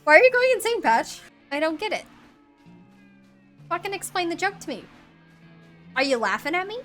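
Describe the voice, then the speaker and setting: A woman's voice: very high voice, big pitch swings, moderate pace, a bit bright, soft background music, full character voice. A woman playing a brash, exasperated nagging mom with a nasal New York accent, combative and repetitive. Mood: offended. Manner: combative, repetitive, swearing, mock-offended.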